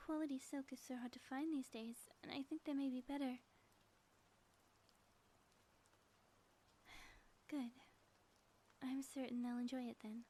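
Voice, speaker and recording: A woman's voice: high-pitched, steady tone, normal speed, mellow, slightly rough. A woman playing a theatrical, lovestruck ex-supervillain in an audio roleplay, speaking in formal, grandiose phrases. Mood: anxious, hopeful.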